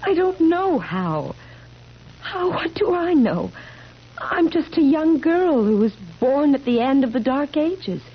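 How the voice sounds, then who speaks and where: A woman's voice: sits high, big pitch swings, slow, heavily acted. An actress playing an anguished, pleading immortal young woman weary of life, in 1970s radio drama style. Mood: desperate. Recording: muffled, noisy 1970s radio recording.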